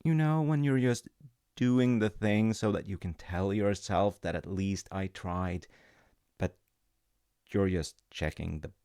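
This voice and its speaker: A man with a very deep, gravelly voice talks slowly and gently, like a dad telling a comforting story with self-mocking asides. The sound is dry and close to the mic. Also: dry recording. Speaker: audio roleplay storyteller playing a dad.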